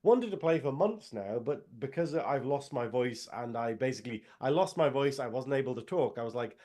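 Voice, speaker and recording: A man's voice: mid-range voice, lively intonation, normal speed, dark tone. A man, co-hosting a casual podcast and rambling in a jokey way with lots of fillers. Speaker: podcast co-host. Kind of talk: casual chat.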